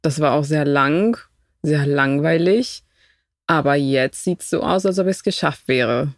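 Unhurried woman from Ecuador. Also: low voice, no room sound, studio quality. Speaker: podcast guest. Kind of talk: casual chat. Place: inside a camper van.

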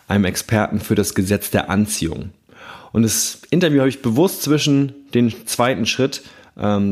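A man hosting a self-help podcast, speaking calmly and thoughtfully with frequent fillers while he has a cold and coughs now and then. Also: on the low side. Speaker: podcast host.

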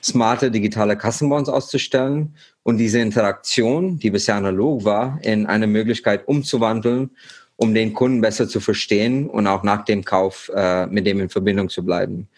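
A man in his thirties from India, a startup founder talking as a podcast guest with many pauses and fillers. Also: on the low side, fairly even intonation, husky. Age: 30s. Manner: hesitant, many fillers and restarts. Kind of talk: podcast interview.

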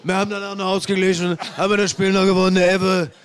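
Male co-host, high-pitched, dry and teasing. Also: monotone, fast talker, slight background noise. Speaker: podcast co-host.

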